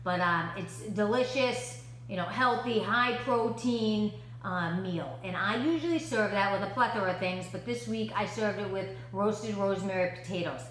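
A woman's voice: medium pitch, normal speed, fairly bright tone. A woman, chatting upbeat to camera at home, with many "you know" fillers. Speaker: lifestyle vlogger. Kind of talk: casual chat to camera. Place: living room at home. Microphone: camera at desk distance. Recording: echoey room with faint hum.

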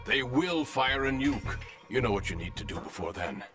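An actor playing a middle-aged intelligence colonel in a video game cutscene, briefing in a clipped, urgent manner. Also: male voice, medium pitch, expressive, normal speed, over music, close to an everyday voice.

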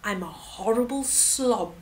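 Woman as a slow, grouchy marabou stork. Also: fairly high pitch, expressive, a little hiss or hum. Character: grouchy, gangly marabou stork, a horrible slob. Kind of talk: storybook character reading.

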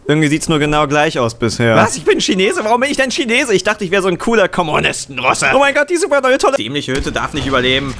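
A young man co-hosting a gaming video, giving quick bossy instructions and panicked protests. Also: on the high side, huge pitch swings, pushed and bright. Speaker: gaming channel co-host. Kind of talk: casual let's-play banter. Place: small room at home, seen on webcam.

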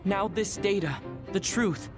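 An actor playing a thoughtful, analytical young male comrade in an anime game, speaking in a measured way. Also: high-pitched. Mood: measured, serious. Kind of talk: English-dubbed anime game acting. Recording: voice over loud music score.

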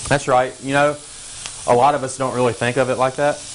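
A young firefighter and paramedic chatting easily while cooking on a TV studio kitchen set. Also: male voice, medium pitch, flat delivery, brisk, poor audio. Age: young adult. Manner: easygoing, full of fillers, talks while stirring a pan. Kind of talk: casual chat while cooking. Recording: clear background noise, sizzling pan.

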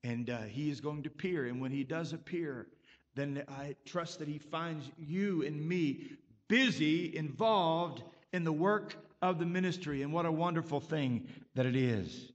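A man's voice: a little high, a little slow, muffled recording. A man preaching a sermon as pastor, emphatic and punctuated with frequent amens. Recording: long reverb of a church hall.

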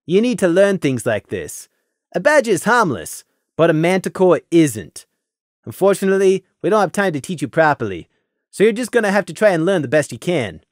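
A narrator voicing a stern, gruff male pegasus squad leader who talks low and commanding. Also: sing-song, fairly quick, no room sound, studio quality, lightly acted. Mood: scolding. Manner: commanding, low-voiced, scolding.

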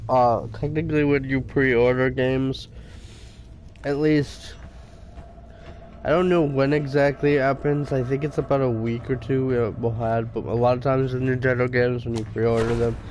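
Young guy gaming on stream, stuffy nose, kinda raspy, rambly, faint game hum behind. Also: mid-range voice, darkish tone. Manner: rambling, chatty, swears at enemies. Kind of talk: casual gaming commentary.

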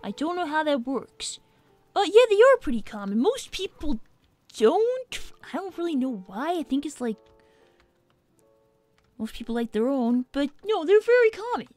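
High-pitched woman as young cartoon fox boy, dry close sound, sassy, self-assured, livestream chat. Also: big pitch swings, moderate pace, a little mellow, dry recording. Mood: matter-of-fact. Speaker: livestream host. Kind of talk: in-character gaming livestream chat. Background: game music.

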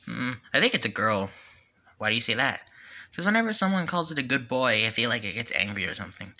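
A woman plays an easygoing parent with a low voice. The cartoon-story delivery is gentle, casual and a little hesitant. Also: very animated, quick pace, heavily acted. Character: easygoing, soft-hearted parent.

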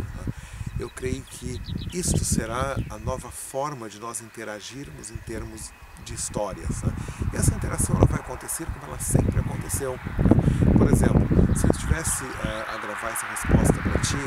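A middle-aged man giving an informal answer to camera in a conversational way, with frequent check-in tags. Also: medium pitch. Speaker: storytelling consultant answering questions. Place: outdoors in open countryside by a field. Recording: distant mic, loud wind and outdoor noise.

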